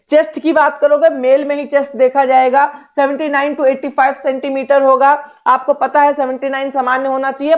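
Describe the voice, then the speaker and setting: High-pitched woman teacher, dull recording. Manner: direct, instructional, repeats check phrases. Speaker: exam-coaching teacher. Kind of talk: instructional explainer to students. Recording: dull, lo-fi sound.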